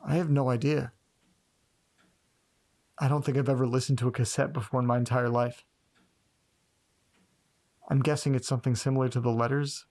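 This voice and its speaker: A creaky, medium-pitched male voice from an actor plays an anxious man who doubts himself. He speaks hesitantly and earnestly, as naturalistic dialogue in a horror audio drama about eerie mysteries. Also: level tone, moderate pace, pauses often, darkish tone, lightly acted. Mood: puzzled, intrigued.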